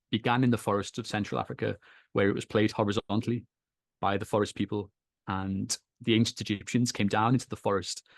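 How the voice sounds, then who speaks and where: Scouse guy, gravelly low voice, dry close sound, telling his story nice and easy. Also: no echo. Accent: Liverpool. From England. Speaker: guest. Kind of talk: relaxed storytelling in an interview. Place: home in Kenya.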